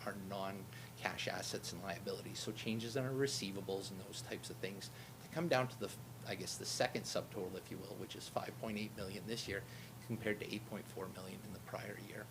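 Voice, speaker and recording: A man auditor presenting financial statements to a town council, in a steady, explanatory way that he himself calls droning. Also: brisk, creaky. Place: council meeting room. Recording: meeting recording with loud background rumble.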